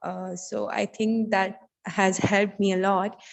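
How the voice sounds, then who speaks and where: Woman learner on a video call, slow and hesitant, lots of ums, reverb on her voice. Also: mid-range voice, flat delivery, creaky. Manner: hesitant, many fillers. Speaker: first-time show participant. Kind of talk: casual answer in a second language.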